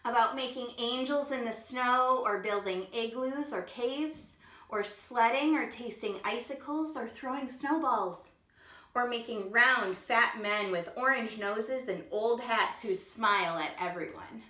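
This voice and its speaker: A woman reads a picture book aloud to young children in a slow, unhurried storytime voice, stopping now and then to ask them questions. Her voice sits a little high and sounds clear and bright. The sound rings in an echoey room. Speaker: kindergarten teacher.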